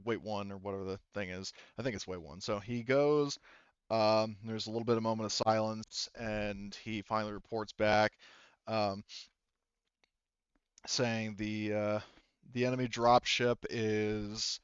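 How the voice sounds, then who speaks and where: Halting low-voiced man running a tabletop game. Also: clean tone, dry recording, highs cut off. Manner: halting, self-correcting, explanatory. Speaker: game master. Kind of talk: casual narration of a tabletop roleplaying game. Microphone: headset.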